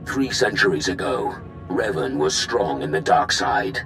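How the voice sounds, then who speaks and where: An actor playing a menacing, haughty Sith lord in a video game, speaking in a measured, grandiose way. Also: male voice, a bass voice, lively intonation, a bit bright, soft background music, exaggerated voice, rough recording. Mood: dark, solemn.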